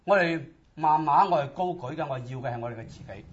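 Male preacher, higher-pitched and husky, exhorting in short bursts, muffled recording. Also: fast talker. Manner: exhorting, rhetorical questions. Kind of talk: sermon in short phrases with pauses for interpretation. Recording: dull, lo-fi audio.

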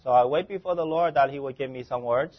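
A man interpreting a sermon phrase by phrase, with formal church wording and a halting delivery. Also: medium pitch. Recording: dull, muffled lo-fi sound.

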